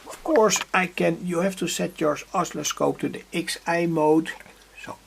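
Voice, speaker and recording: A man giving an unscripted electronics demonstration, halting as he searches for words, with a husky, creaky voice. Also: on the high side, steady tone. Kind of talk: unscripted workbench demonstration vlog. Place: indoor hobby workbench.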